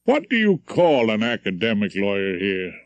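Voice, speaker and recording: A man voicing a bulky, courteous male law professor with a low, rumbling American accent. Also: huge pitch swings, moderate pace, dry recording, lo-fi sound. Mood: sympathetic.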